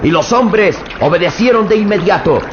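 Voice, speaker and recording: A male narrator tells a radio adventure with tense, suspense-building delivery. His voice sits fairly high. The recording is roomy and dull, with loud hiss throughout. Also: lively intonation, moderate pace. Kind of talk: dramatic radio serial narration. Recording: echoey, muffled old radio with loud hiss.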